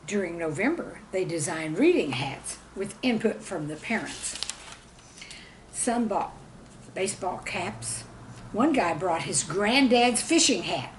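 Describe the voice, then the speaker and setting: Woman telling children's stories, very lively pitch. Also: slight background noise, rough recording. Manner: expressive storybook delivery with dramatic emphasis. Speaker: storyteller and author reading own stories. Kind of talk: scripted children's story narration.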